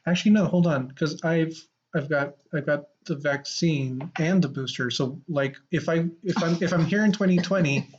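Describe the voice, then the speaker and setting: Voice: medium pitch, moderate pace, few pauses, a little hiss or hum. Rambling podcast host, muffled sound. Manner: rambling, repeats phrases. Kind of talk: casual improvised banter.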